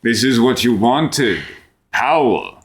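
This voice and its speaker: A man voicing a calm, grandiose lich in a fantasy tabletop game, speaking with solemn, measured persuasion. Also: medium pitch, pitched above the performer's own voice. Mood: coolly condescending. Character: calm, grandiose male lich promising escape from death.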